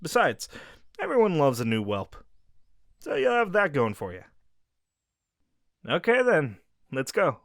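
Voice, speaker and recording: A man voices a friendly young werewolf who talks softly and reassuringly to you, his tone rising and falling with real animation. The close mic sounds completely dry. He stays easygoing and caring. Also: a little high, huge pitch swings, measured pace, pauses often, no room sound, heavily acted. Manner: gentle, reassuring, speaks directly to the listener. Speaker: audio roleplay character. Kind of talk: scripted audio roleplay addressing the listener.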